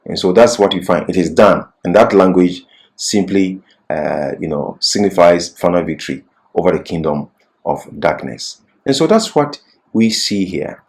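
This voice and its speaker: A man's voice: deep voice, lively intonation, normal speed, a little mellow. A man giving an expository Bible lecture as a teacher, repeating key phrases for emphasis.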